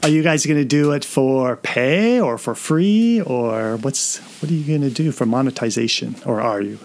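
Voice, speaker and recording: A man's voice: mid-range voice, lively intonation, moderate pace, darkish tone. A man hosting a podcast, interviewing a guest in a casual, conversational style.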